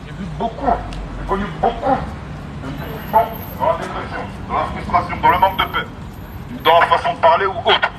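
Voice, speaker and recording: A high-voiced man evangelizes in the open air through a megaphone, with street noise clearly behind him. He exhorts emphatically, hammering key phrases by repeating them. It is a street sermon, amplified over the sounds of the city. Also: a little fast. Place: outdoors on an urban street.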